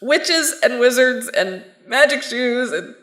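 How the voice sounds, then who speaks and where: A woman's voice: fairly high pitch, animated, takes it slowly, fairly bright tone. A woman playing a lost young Kansas girl, bossy, exasperated and overwhelmed, in an audio drama. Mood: tearful, overwhelmed. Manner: urgent, exasperated, sarcastic. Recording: roomy echo, music underneath. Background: music.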